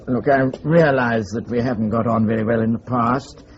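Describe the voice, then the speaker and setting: An actor playing a cool, formal, middle-aged English security investigator in a radio drama, with a clipped and controlled way of talking. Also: male voice, mid-range voice, steady tone, moderate pace, dull audio, slight background noise, natural voice. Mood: measured, guarded.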